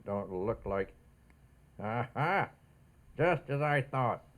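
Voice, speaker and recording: An actor playing a suspicious, stubborn mail clerk in a radio comedy, with a folksy, scolding manner. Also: male voice, mid-range voice, animated. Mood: triumphant, stern. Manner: folksy, scolding, drawn-out exclamations. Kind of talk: old-time radio comedy acting.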